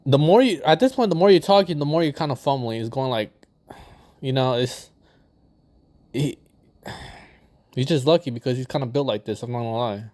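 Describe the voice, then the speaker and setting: A man talks through a clip in loose, rambling slang, swearing freely. He flares into mock outrage, then trails off into fillers. His voice sits at an ordinary middle pitch. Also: lively intonation, fairly quick, long pauses, a little mellow. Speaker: reaction commentator. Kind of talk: casual reaction commentary.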